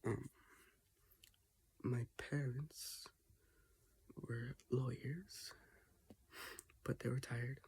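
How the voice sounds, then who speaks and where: A teenager talking casually in a personal vlog from their bedroom, halting with restarts and often dropping to a half-whisper. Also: mid-range voice, steady tone, darkish tone, breathy edge. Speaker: vlogger. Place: own bedroom, indoors.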